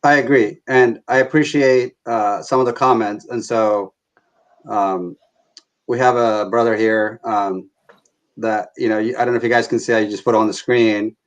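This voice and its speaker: A man moderating an online panel discussion on a video call, speaking earnestly with lots of pauses and fillers. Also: lowish voice, steady tone, muffled recording. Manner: halting, filler-heavy, earnest.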